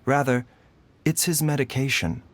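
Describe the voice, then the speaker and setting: An actor playing a calm, grave doctor in a fantasy video game, speaking in a measured, formal and articulate way. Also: male voice, medium pitch, lightly acted. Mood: grave, explaining. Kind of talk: video game character dialogue.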